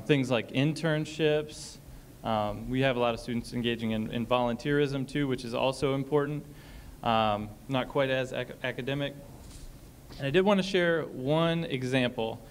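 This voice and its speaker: A man talks through a topic at a college panel discussion, steady and explanatory, pausing on ums. His voice sits at a middle pitch. A faint room noise runs under the auditorium sound. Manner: explanatory, frequent ums, reads a passage aloud.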